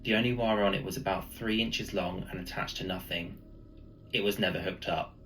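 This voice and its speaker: A man with a gravelly voice reading a spooky first-person story as a storyteller. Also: low voice, steady tone, a little fast, fairly bright tone, slight background noise, low-quality recording. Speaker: storyteller, channel host.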